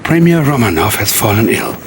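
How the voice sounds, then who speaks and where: A low-voiced, smugly menacing Soviet mastermind. His formal, condescending delivery swings widely in pitch. The game cutscene audio has noise behind it. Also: very animated. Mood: smug, calm. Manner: deliberate, coolly condescending, formal. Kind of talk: video game cutscene acting.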